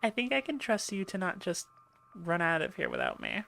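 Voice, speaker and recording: A woman acts out a female medic on an alien warship in an ASMR roleplay. Her voice sits a little low with plenty of creak, and she talks in a chatty, playful way, teasing and reassuring her patient. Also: wildly swooping pitch, moderate pace, a bit bright, full character voice. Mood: casual, reassuring. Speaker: audio roleplay voice actor. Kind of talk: ASMR roleplay, one-sided conversation.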